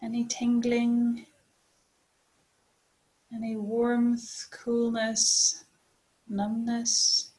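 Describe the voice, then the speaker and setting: Woman, meditation teacher, slightly high pitch, very slow pace, gentle delivery, long pauses, guided body scan. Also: monotone, poor audio.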